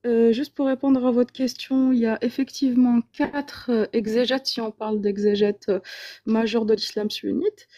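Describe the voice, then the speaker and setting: A woman's voice: fairly high pitch, steady tone, moderate pace, mellow. A woman joining a live debate, speaking politely and formally, with some hesitation. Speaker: debate participant.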